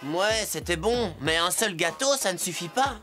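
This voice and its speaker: A woman's voice: on the low side, animated, normal speed, pushed and bright, soft background music. A woman voicing an earnest, worried young farm boy in a children's cartoon. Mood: downcast. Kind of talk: animated children's cartoon acting.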